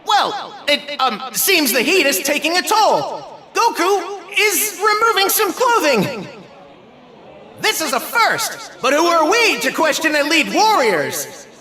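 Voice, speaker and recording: Shrill, excitable tournament announcer. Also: male voice, slow pace, exaggerated voice. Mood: bemused. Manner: exclamatory, showy, breathless patter. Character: excitable, flabbergasted male martial arts tournament announcer. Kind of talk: anime game ringside commentary.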